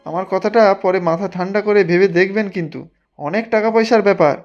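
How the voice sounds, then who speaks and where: A man's voice: sits high, fast, dull audio, music in the background, lightly acted. A man voicing an ambitious, greedy village official in a cartoon, talking in a persuasive, insistent way. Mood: impatient. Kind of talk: Bengali cartoon dialogue.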